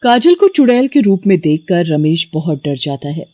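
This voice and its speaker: A woman's voice: fairly low pitch, sing-song, normal speed, warm tone, dry recording, muffled recording. A woman narrator reading a scripted story.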